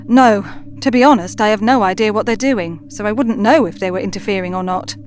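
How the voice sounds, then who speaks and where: A woman's voice: medium pitch, brisk, slight background noise, close to an everyday voice. A woman playing a friendly, bewildered civilian in a sci-fi audio drama, talking informally and candidly. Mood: puzzled.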